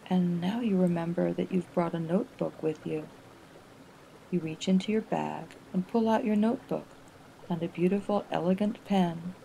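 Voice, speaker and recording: A woman narrating a guided relaxation exercise in a gentle, soothing manner, with unhurried phrasing and pauses. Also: on the low side, steady tone, creaky, a little hiss or hum, rough recording.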